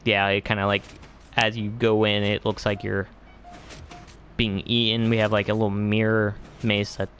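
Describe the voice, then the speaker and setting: A man's voice: lowish voice, level tone, normal speed, fairly bright tone, a little hiss or hum. A man giving a casual, rambling game tour as video host, hesitant and often trailing off into vague asides.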